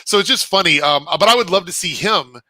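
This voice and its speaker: A man's voice: sits high, expressive, brisk, bright, forceful tone, dry recording. A man co-hosting a casual chat show, rambling with lots of filler words. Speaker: co-host.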